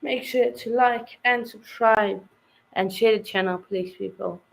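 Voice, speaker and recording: A woman hosting a casual sports livestream, talking hesitantly with many pauses while she gives updates and signs off. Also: fairly high pitch, fairly even intonation, creaky, low-quality recording. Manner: hesitant, many fillers, wraps up the show. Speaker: stream host.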